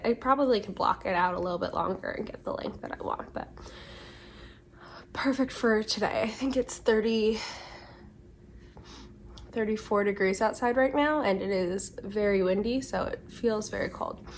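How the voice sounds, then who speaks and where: A woman's voice: medium pitch, moderate pace, pauses often, a little hiss or hum. A woman in her twenties hosting a podcast in a casual, rambling way, a bit congested from a cold, with many ums and self-corrections. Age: 20s. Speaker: podcast host. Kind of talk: casual chat. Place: home during a windy day.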